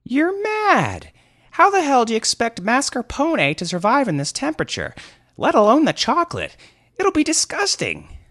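A man's voice: extremely high pitch, wildly swooping pitch, normal speed, a bit bright, no echo, studio quality, voice pushed up, full character voice. A man doing a sneering cheeky thief. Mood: furious. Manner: sneering, mocking. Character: scruffy cheeky male thief.

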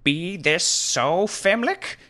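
A man's voice: sits high, expressive, slow pace, bright, forceful tone, voice pushed up, heavily acted. A man doing a pompous old sorcerer. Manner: grandiose, archaic, declaiming.

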